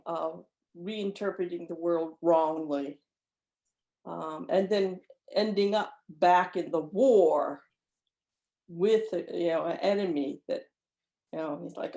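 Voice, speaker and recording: A woman's voice: on the low side, a little mellow. A woman author chatting casually as an interview guest, often acting out imagined lines of dialogue as she explains. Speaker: author guest discussing own short story. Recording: echoey room sound.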